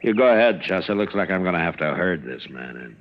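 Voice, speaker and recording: A very low-voiced, stern lawman of the frontier gives terse orders with full authority. The sound is a muffled, noisy old radio western. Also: big pitch swings, fast talker. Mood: gruff command. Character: stern, commanding frontier US Marshal.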